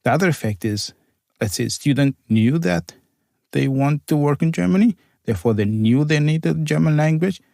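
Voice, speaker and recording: Gravelly-voiced man, Arabic native speaker. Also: on the high side, animated, moderate pace, warm tone, dry recording, studio quality. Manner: careful, searching for words, slightly non-native phrasing. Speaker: university program coordinator. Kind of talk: conversational interview answers.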